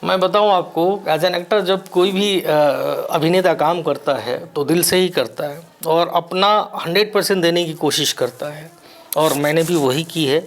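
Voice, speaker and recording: Reflective male actor from Bihar. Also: a little high. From Bihar, India. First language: Maithili. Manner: measured, reflective, pauses often. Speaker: actor, interview guest. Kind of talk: relaxed interview answers.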